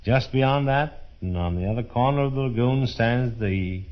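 An American man speaking as a tour guide in a scripted 1930s radio presentation, formal, measured and declamatory. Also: mid-range voice. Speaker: fair official acting as tour guide. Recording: dull, muffled 1930s radio broadcast.

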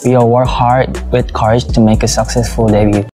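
A man's voice: medium pitch, little pitch movement, fairly quick, mellow, faint music behind. A young man speaking careful, earnest English in a short repeated clip.

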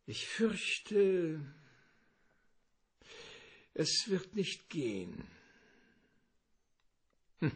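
A man playing a genial, scheming older crime boss in a German radio play, courteous and wordy, with a husky, creaky voice. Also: high voice, very animated, exaggerated voice. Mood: doubtful, placating. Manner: avuncular, unctuous, deliberate hesitations.

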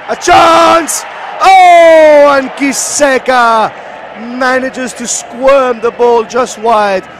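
A man giving excitable live play-by-play commentary on a football match. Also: very high-pitched. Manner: excitable, rising to shouts at chances. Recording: loud crowd noise behind commentary. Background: stadium crowd roar.

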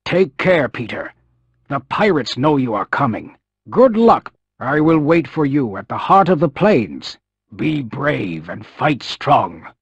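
Big kindly chief for a cartoon game, stately, raspy as anything, pitch moves a lot. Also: male voice, very animated, dry recording, exaggerated voice. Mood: solemn. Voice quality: husky. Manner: stately, formal, measured phrasing. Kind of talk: cartoon video game acting. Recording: dull, lo-fi game audio.

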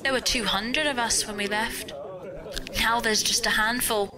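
A woman's voice: fairly high pitch, fairly even intonation, normal speed, natural voice. A woman playing a bitter, frightened space station survivor in an amateur audio drama, dramatic in manner. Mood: bitter, despairing. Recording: crowd noise behind the voice.

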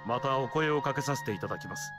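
A man's voice: medium pitch, quick pace, music in the background, lightly acted. A man voicing a noble, courteous king who speaks formally and graciously in a fantasy game. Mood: gracious formality. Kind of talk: Japanese fantasy game voice acting.

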